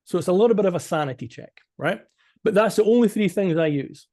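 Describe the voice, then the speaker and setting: Man, Scottish accent, slightly high voice, business coach, conversational, emphatic, informal presentation. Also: animated, fast, mellow. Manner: conversational, emphatic, often checks in with "right?".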